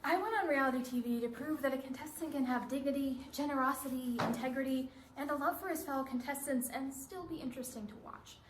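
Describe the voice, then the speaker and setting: A woman's voice: high-pitched, fast talker, hardly any pauses, lightly acted. A woman performing an earnest, idealistic former cooking show contestant in an emphatic readers theatre monologue that builds in lists. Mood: earnest, impassioned. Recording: roomy stage with faint noise.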